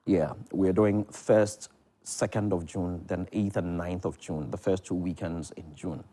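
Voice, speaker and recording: A man's voice: sits low, fairly even intonation, measured pace, mellow. A man with a Ghanaian accent talking conversationally as a theatre producer and director being interviewed on television. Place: television studio.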